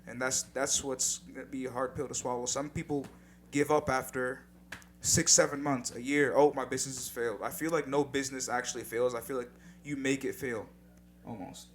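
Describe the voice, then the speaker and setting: A man's voice: medium pitch, steady tone, a little fast. A young man co-hosting a casual podcast, talking in a laid-back, reflective way with some casual swearing. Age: young adult. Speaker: podcast co-host. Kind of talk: casual chat.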